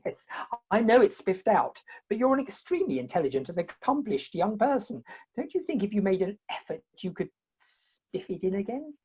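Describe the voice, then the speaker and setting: Woman as indignant theatrical hero, wordy comic period role, animated and husky, muffled online recording. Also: mid-range voice, normal speed. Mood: pleading. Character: indignant, exasperated comic hero pleading his case. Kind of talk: comic Victorian stage play acting. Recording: dull, lo-fi video-call audio.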